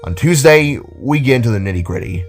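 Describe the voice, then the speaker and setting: A man's voice: medium pitch, animated, fairly quick, soft background music, close to an everyday voice. A man voicing an older, kindly and enthusiastic robot teacher with a theatrical, explanatory way of talking. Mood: instructive. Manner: showy, explanatory.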